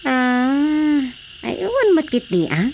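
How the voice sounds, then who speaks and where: Younger villager, chatty, frank, high voice, comedic radio drama acting. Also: female voice, sing-song, slow, slight background noise, exaggerated voice. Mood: questioning, frank. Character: chairman's chatty, frank younger female relative or aide.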